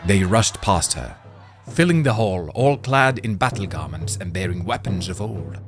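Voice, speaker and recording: Deep-voiced male storyteller. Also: moderate pace, soft background music. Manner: expressive, hushed in tense moments. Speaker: narrator. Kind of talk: scripted storybook narration.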